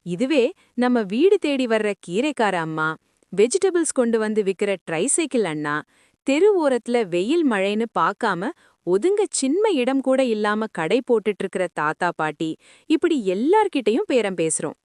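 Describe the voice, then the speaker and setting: A woman telling stories to young children in an expressive, affectionate way. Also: a little high, normal speed, no echo, clean studio sound. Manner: animated, addresses listeners affectionately. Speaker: children's storyteller.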